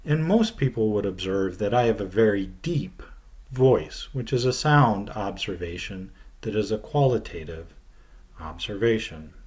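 Slow, deliberate male teacher. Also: lowish voice, animated, darkish tone. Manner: slow, deliberate explaining with falling phrase ends. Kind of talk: recorded video lecture. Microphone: webcam at desk.

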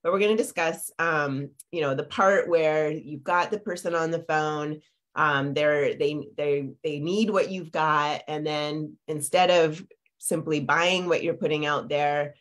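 A woman's voice: low-pitched, fairly even intonation, a little slow. A woman in her forties, a business coach, talking live over a video call from her home office, a little congested with a lingering cough, chatty and full of fillers and tag questions. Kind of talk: live-streamed coaching talk. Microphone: video call webcam.

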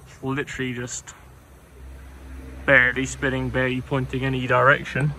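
A young man casually narrating electrical work on his small sailboat outdoors, relaxed and rambling with ums and self-corrections, recorded on an action camera. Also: mid-range voice, normal speed, slight background noise. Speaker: sailing vlogger. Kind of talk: casual how-to narration while working.

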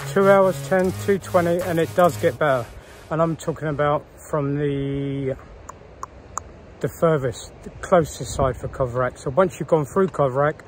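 Chatty man vlogging on a coast walk. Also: on the high side. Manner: chatty, rambling, wry asides. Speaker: hiker vlogger. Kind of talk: casual walking vlog narration. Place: outdoors on windy coastal footpath. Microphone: phone at arm's length.

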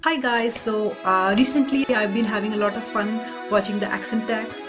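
An Indian-accented woman chats casually at a middling pitch. Music is clearly audible behind her voice. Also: fairly even intonation, normal speed. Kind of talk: casual chat.

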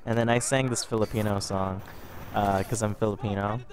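Young man, a bit under the weather, casual rambling storyteller over game footage, low-pitched. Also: fairly even intonation, a little fast, background noise. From Canada. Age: young adult. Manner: rambling, repeats words and restarts often. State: a little sick. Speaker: gaming vlogger.

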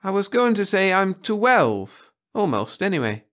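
A man's voice: sits high, big pitch swings, unhurried, a bit bright, voice pushed up, exaggerated voice. A man voicing a meek, apologetic eleven-year-old girl in a storybook reading, pleading and hesitant. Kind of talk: storybook audiobook character voice.

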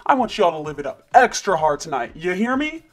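A woman's voice: on the low side, very animated, brisk, heavy background noise, pitched below the performer's own voice. A woman voicing a laid-back young male DJ who talks in hyped, slangy bro speak for a doll stop-motion video.

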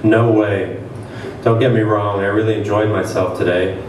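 A man's voice: on the low side, steady tone, normal speed. A man in his thirties reading a comic novel aloud dramatically at a stage event. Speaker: reader at a literary event. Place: stage with curtains before an audience. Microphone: microphone on a table stand. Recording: echoey hall, distant, faint noise.

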